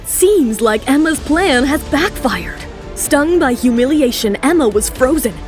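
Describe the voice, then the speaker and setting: A woman's voice: sits high, lively intonation, a little slow, a little mellow, faint music behind. A woman narrating a scripted romantic drama as a storyteller.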